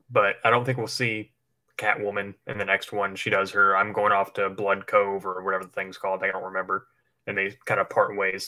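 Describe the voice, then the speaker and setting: Guy on a livestream, lowish but bright voice, rambly casual chat. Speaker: livestream co-host. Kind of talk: casual chat speculating about films.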